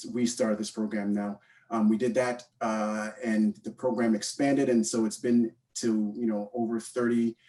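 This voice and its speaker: A man's voice: on the low side, darkish tone, distant mic, poor audio. A man in his thirties with a Canadian accent giving an informal unscripted talk, hesitant and often repeating his words. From born in Guyana, raised in Canada from age eight. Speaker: panel speaker, mentorship program co-founder.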